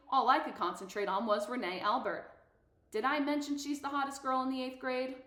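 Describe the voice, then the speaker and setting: A wry, self-deprecating thirteen-year-old boy read by a woman confides his story in a storybook narration. The pitch is a middling female one, and the room rings with clear echo. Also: fairly bright tone. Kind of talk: dramatised novel read-aloud.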